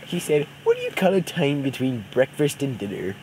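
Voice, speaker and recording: A young man improvises a silly story for friends in a put-on cartoon parody voice, halting and often breaking into giggles. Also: medium pitch, huge pitch swings, darkish tone. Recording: noisy amateur home recording.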